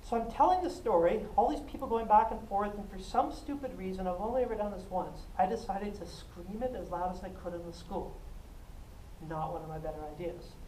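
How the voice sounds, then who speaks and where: A woman giving a self-defense talk, animated and jokey, often laughing and acting out what people said. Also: mid-range voice, fairly quick. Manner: animated, jokey, laughs a lot, acts out quotes. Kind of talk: lively storytelling talk to a class. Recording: echoey room, mic at a distance, faint noise.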